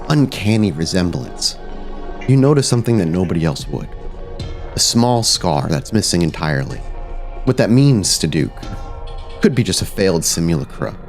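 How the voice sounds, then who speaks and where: Male game master, very low register, suspenseful deliberate narration with dramatic pauses, soft music bed. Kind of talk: narrating a tabletop horror game.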